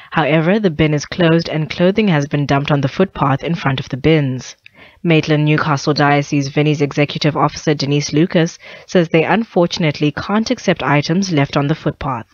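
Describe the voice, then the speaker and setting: A woman's voice: low voice, dry recording. Aussie woman reading radio news, dry studio, slightly dull sound, trips on the odd phrase. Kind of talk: scripted news bulletin.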